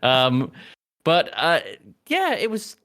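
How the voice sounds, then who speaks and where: A man's voice: fairly high pitch, huge pitch swings, takes it slowly, pushed and bright. Casual male podcast host, chatty. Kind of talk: casual film discussion.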